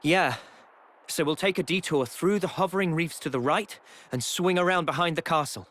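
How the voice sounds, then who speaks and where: A man voicing a calm, serious young squad leader in a game cutscene, speaking in a measured, earnest way. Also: a little high, lightly acted. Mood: focused. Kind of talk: video game cutscene acting.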